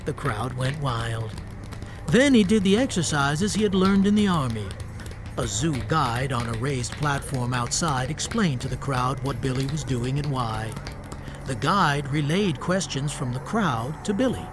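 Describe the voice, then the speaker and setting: A man narrating a radio drama as a storyteller, in a terse, matter-of-fact way over soft music. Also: mid-range voice, expressive, unhurried, close to an everyday voice. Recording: faint music behind narration.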